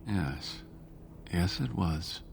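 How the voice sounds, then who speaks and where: A man playing an injured, earnest scholarly monk in a video game, speaking in a halting, expressive way. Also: sits low, gravelly, background noise, heavily acted. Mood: thoughtful, wary. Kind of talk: dramatic video game dialogue.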